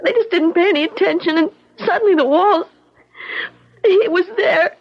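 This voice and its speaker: An actress in a 1970s radio drama playing a frightened, distraught young girl in a halting, childlike way. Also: extremely high pitch. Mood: tearful panic. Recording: dull, lo-fi 1970s radio recording.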